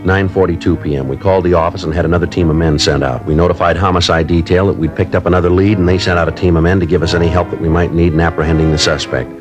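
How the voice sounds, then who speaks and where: An actor playing a terse police sergeant, narrating a 1950s radio crime drama in a clipped, deadpan way. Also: male voice, sits low, racing, few pauses, music in the background, lightly acted. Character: terse male police sergeant, matter-of-fact.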